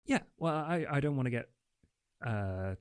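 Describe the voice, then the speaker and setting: A man's voice: mid-range voice, lively intonation, measured pace, clean tone, no echo, studio quality. Sarcastic man role-playing a character. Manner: flippant, sarcastic. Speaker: player. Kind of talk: in-character roleplay.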